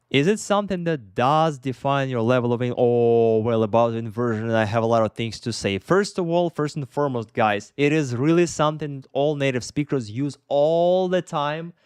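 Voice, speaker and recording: Young man, Russian accent, chatty English teacher, smooth clear voice, dry close mic. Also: medium pitch, no room sound. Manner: chatty, self-correcting, laughs between points. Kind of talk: casual live Q&A stream.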